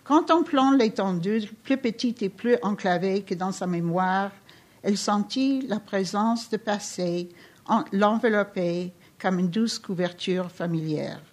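A woman's voice: medium pitch, a little slow. Woman reading her novel aloud. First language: not French. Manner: steady literary reading with small restarts. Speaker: author reading her work.

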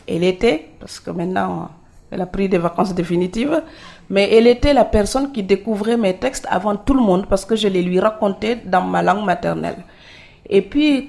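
A woman from Senegal, an author being interviewed, telling her stories in a lively way and laughing easily. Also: medium pitch. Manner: lively storyteller, laughs easily, acts out quoted dialogue. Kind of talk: conversational interview.

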